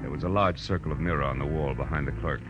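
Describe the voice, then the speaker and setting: A hard-boiled American detective tells his story in a deep, wry, clipped voice. He is world-weary and wisecracking, in classic 1940s radio style. The old recording is muffled, with music clearly running behind him. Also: fast talker. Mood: watchful. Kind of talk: 1940s radio detective acting, first-person narration.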